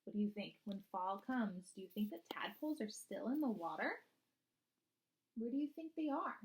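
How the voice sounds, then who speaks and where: A woman reading a children's picture book aloud like a teacher, expressively, often pausing to pose guiding questions to young listeners. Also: medium pitch, animated, slight background noise, rough recording.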